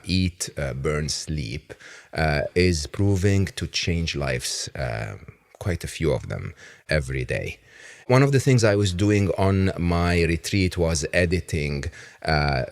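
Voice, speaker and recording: A middle-aged man hosting a podcast, introducing a guest in halting, casual speech full of fillers and restarts. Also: a bass voice. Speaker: podcast host. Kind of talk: casual interview.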